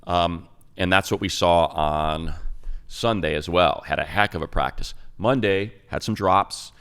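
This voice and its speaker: Man, middle-aged, very deep voice, gravelly, emphatic sports podcast talk. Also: a little slow, fairly bright tone. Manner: conversational, emphatic, many fillers. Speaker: radio play-by-play announcer, podcast co-host. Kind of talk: casual sports podcast analysis.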